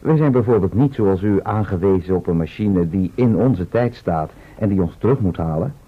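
An actor playing a matter-of-fact travel organiser from the future in an old Dutch radio play, explaining things with a Netherlands accent. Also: male voice, low voice, expressive, normal speed, husky, phone-quality sound. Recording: thin, band-limited old radio recording.

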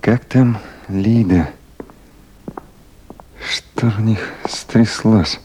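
A man's voice: on the low side, extremely slow, mellow, slight background noise, poor audio. An actor playing a weary man on long night duty, musing to himself in short phrases in a 1970s Soviet radio play.

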